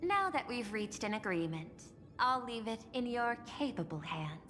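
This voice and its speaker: A poised high-voiced executive lady. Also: big pitch swings, fairly bright tone, long reverb tail, faint music behind. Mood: confident, grand. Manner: polished, formal, measured. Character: poised, persuasive corporate executive woman, courteous and calculating. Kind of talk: video game voice acting.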